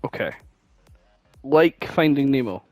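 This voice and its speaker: A man co-hosts a casual podcast in a lively, swooping voice. He takes his time and swears freely, talking informally. Faint music plays behind him. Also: medium pitch, slow pace. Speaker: podcast co-host. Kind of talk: casual chat, guessing game.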